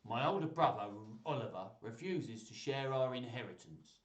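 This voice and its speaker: A man's voice: medium pitch, lively intonation, moderate pace, natural voice. Man doing a lonely young Cockney wrestler, echoey. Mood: bitter. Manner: earnest, sorrowful. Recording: roomy echo, distant microphone.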